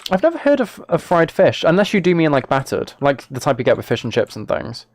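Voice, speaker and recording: A man's voice: fairly high pitch, wildly swooping pitch, fast, dry recording. A British man chatting casually on a game livestream, talking to viewers in a mock-exasperated, self-deprecating way. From United Kingdom. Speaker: live streamer.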